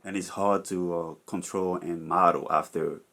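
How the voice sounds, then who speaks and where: Deep-voiced man hosting an interview. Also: steady tone, fairly bright tone. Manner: halting questions, restarts and "uh" fillers. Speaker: show host. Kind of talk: casual interview host.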